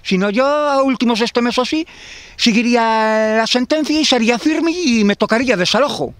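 Galician guy in his 50s, rambling with restarts, outdoors into a handheld mic, quick talker. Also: very high voice, a bit bright, no room sound. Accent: Galician Spanish. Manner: rambling, repetitive, many restarts. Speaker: tenant facing eviction. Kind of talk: street interview. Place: outdoors in a garden. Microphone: handheld interviewer mic.

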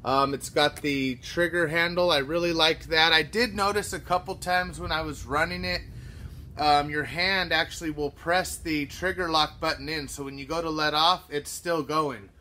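A man in his thirties casually reviewing a power tool in his shop, relaxed and full of fillers. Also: fairly high pitch, normal speed, a little hiss or hum. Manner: relaxed, filler-heavy, practical shop talk. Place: under a lowered truck, then inside an auto shop.

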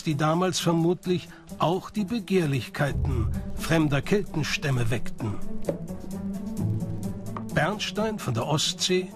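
A man reading scripted documentary narration. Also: on the high side. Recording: music bed under the voice. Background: background music.

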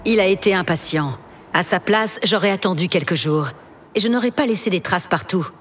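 An actress playing a composed warrior archer who speaks calmly and coolly. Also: female voice, fairly low pitch, fairly quick, close to an everyday voice. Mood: cold. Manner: calm, unshakeable, clipped. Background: music.

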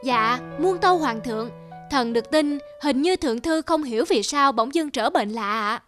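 A woman's voice: sits high, expressive, normal speed, a bit bright, soft background music. A woman voicing an envious, insinuating male court official in a cartoon folktale. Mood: feigned deference. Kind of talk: historical cartoon folktale dub.

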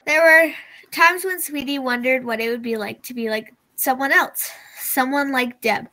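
A woman's voice: high voice, moderate pace, sharp tone, poor audio. A teenage girl reading a picture book aloud over a video call.

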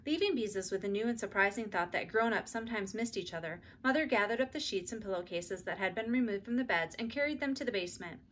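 A middle-aged woman reading a children's book aloud in expressive storybook narration, recorded in a room at home. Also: mid-range voice, quick pace, few pauses, slight background noise. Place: small indoor room at home.

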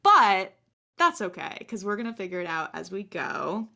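An American woman in her twenties with a low voice, co-hosting a podcast in casual, rambling chat. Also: sing-song, normal speed, creaky, pushed and bright. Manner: chatty, laughing often, rambling. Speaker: podcast co-host.

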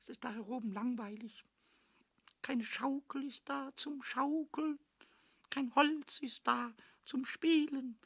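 Squeaky, scratchy little kobold. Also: male voice, steady tone, slow pace, a bit bright, slightly rough, no room sound, exaggerated voice. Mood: bored, sulky. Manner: sing-song rhyming, chattering to himself, repeating words. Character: small mischievous male kobold, impish, excitable, childlike. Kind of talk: children's radio play acting.

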